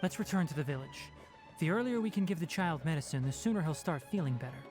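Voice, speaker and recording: An actor as a precise, lively-toned young forest ranger. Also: medium pitch, natural voice, poor audio. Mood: matter-of-fact. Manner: matter-of-fact, measured, instructive. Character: young male fox-eared forest ranger, precise, blunt, caring mentor. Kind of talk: video game voice acting. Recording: game music behind the voice.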